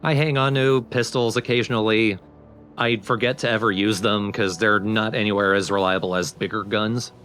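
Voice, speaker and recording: A man's voice: lowish voice, fairly even intonation, normal speed, a bit bright, slight background noise. A man giving casual, explanatory commentary on a video game as he plays. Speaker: let's play commentator.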